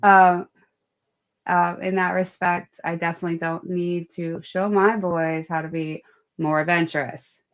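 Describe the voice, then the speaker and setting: Relaxed mother on a lo-fi mic. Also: lowish voice, measured pace. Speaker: guest. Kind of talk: casual livestream chat.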